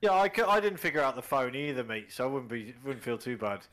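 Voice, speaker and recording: A man's voice: mid-range voice, very animated, fast, fairly bright tone. A man chatting casually, enthusiastic and swearing offhandedly. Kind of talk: casual chat.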